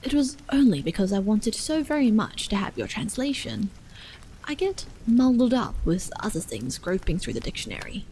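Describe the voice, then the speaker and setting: A woman voicing a troubled, earnest, introspective man in a story, soft, solemn and hesitant. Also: mid-range voice, moderate pace, slight background noise, rough recording. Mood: apologetic, curious. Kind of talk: audiobook character dialogue. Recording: steady rain sound over the voice.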